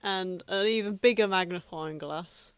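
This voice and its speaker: A woman's voice: fairly low pitch, a little slow, clear, resonant tone, dry recording. A British woman co-hosting a podcast, bantering casually in a playfully argumentative way. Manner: playfully argumentative, prone to laughing. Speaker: podcast co-host.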